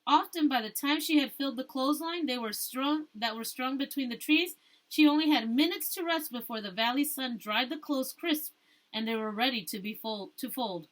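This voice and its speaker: A woman teacher reading a storybook aloud, rereading stumbled phrases and pausing to explain things to her listeners. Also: high-pitched, low-quality recording.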